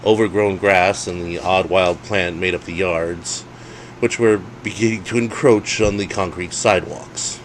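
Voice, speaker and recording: A man's voice: deep voice, fairly even intonation, measured pace, slight background noise, rough recording. A man reading his own comedic ghost story aloud with a wry, dramatic storyteller's delivery.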